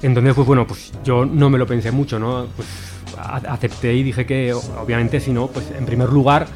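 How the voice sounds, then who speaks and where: Earnest halting male activist guest. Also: medium pitch, level tone, over music. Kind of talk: radio interview.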